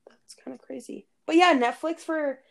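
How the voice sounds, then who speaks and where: A woman's voice: high voice, normal speed, vocal fry. A woman co-hosting a podcast in bubbly, gushing casual chat, sometimes groping for words. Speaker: podcast co-host.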